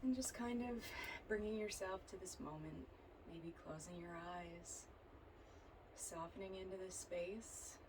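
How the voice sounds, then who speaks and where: A woman leading a guided yoga and relaxation practice as an instructor, speaking in a gentle, soothing way with unhurried phrasing. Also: mid-range voice, background noise.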